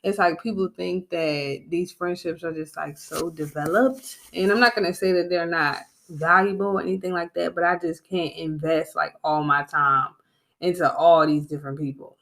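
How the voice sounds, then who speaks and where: A woman in her twenties co-hosting a podcast in casual chat, animated and often laughing. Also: lowish voice, moderate pace, vocal fry. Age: 20s. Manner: animated, addresses listeners as "y'all", laughs often. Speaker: podcast co-host.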